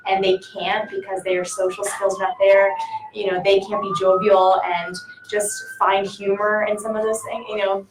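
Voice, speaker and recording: A woman's voice: mid-range voice, little pitch movement, moderate pace. A woman in her twenties on a discussion panel who talks in a friendly way and asks questions. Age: 20s. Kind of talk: casual panel talk. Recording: echoey room from far off, faint noise.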